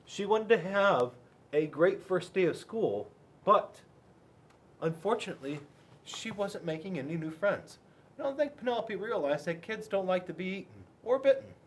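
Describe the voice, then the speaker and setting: A man reading a storybook aloud to children in an expressive, chatty way, addressing his young listeners directly. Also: high-pitched, normal speed. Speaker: police school resource officer reading to children.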